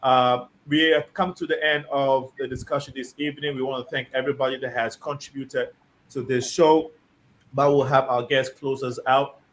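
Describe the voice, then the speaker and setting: A man hosting a live discussion show, reading out viewer comments and guiding his guests in a measured, deliberate way. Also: medium pitch, level tone. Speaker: host.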